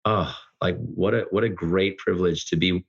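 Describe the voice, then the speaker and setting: Middle-aged man, lowish pitch, heartfelt casual talk, effusive, dull recording. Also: fairly even intonation, moderate pace, creaky, slightly rough. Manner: earnest, effusive. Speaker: podcast guest. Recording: dull lo-fi audio.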